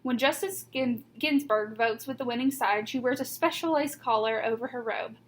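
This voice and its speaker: A woman reading a children's picture book aloud like a teacher, with deliberate emphasis on key words. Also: fairly high pitch. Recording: noticeable background noise.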